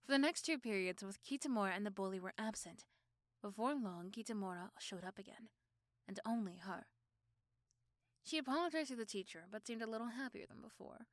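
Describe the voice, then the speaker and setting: A woman giving quiet, scripted story narration for an audio roleplay, speaking to the listener in the second person. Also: medium pitch, animated, a little fast, no echo, studio quality.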